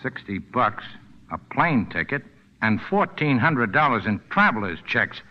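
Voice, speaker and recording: An actor playing a curt, cynical American boat skipper with a clipped, dry delivery in 1940s-style radio drama. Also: male voice, medium pitch, big pitch swings, a little slow, a little hiss or hum. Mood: wry. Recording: muffled old radio recording.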